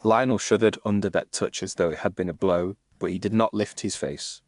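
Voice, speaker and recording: A man serves as narrator of a period mystery audio story. His voice is on the low side and recorded dry. The delivery is formal and measured, suited to literary prose. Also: dry recording.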